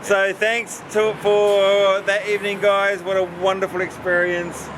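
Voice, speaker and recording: A jokey, chatty man with a New Zealand accent talks through his travels. His voice sits slightly high. Clear background noise and passing vehicles run behind him. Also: completely flat delivery, unhurried. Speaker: travel vlogger. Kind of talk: casual travel vlog chat. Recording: noisy background with passing traffic.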